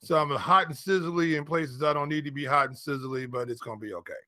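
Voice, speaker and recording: A male sports co-host with a bright, forward voice pitched a little high. He chats casually and colloquially, addressing listeners directly, and the sound is dry with little room to it. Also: quick pace, dry recording. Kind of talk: casual fantasy football chat.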